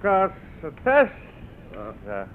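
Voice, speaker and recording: An actor playing a genial, curious gentleman manor owner, polite and well-spoken, in a theatrical vintage radio drama style. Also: high voice, wildly swooping pitch. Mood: pleased. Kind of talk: vintage Finnish radio play acting. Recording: thin, phone-like old radio recording.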